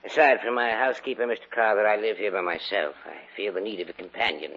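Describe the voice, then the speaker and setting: An actor playing a lonely, agreeable old gentleman in an old radio drama, courteous, measured and mildly hesitant. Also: lowish voice, fairly even intonation. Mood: courteous, probing. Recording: muffled vintage radio recording.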